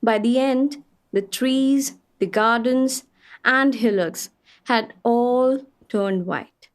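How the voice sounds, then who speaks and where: High-pitched lady traveller telling her tale. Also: takes it slowly. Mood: fascinated, wondering. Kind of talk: radio play storytelling, reading a travel account.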